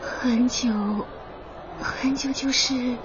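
A tender mother speaks with quiet sorrow in a radio drama, soothing her child in a soft voice. The recording is a little dull, with plain ambient noise behind her. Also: a little high, fairly even intonation, drawn-out words. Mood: sorrowful, soothing. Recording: muffled, with noisy station ambience.

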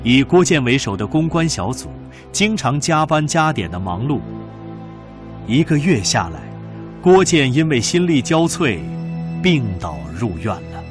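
Expressive male narrator over music. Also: medium pitch, unhurried. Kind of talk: scripted radio drama narration.

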